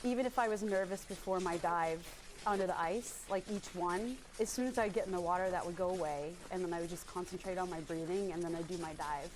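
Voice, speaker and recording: A woman's voice: on the low side, fairly quick, a little mellow, a little hiss or hum, low-quality recording. Chatty woman free diver telling stories. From Maine, USA. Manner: chatty, rambling with many fillers. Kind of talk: casual interview storytelling.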